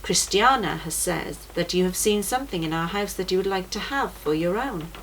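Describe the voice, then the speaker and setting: A woman voicing a gentle, generous male shepherd in a children's storybook. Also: on the low side, expressive, moderate pace, poor audio. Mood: gentle, kind. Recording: noticeable background noise.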